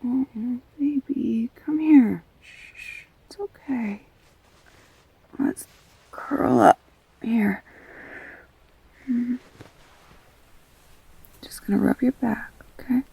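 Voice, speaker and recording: Comforting girlfriend, played by a woman, intimate audio drama: unhurried, breathy, soft-spoken, halting and reassuring. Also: a little high, dry recording, heavily acted, rough recording. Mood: gentle, soothing. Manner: soft, halting, soothing, often near whispering. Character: tender, reassuring girlfriend comforting an upset partner.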